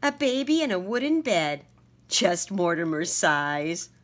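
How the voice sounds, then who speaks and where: Woman teacher reading aloud, lowish voice, very lively pitch, slow and gentle. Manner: expressive, gentle storytelling. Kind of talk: children's picture book read-aloud.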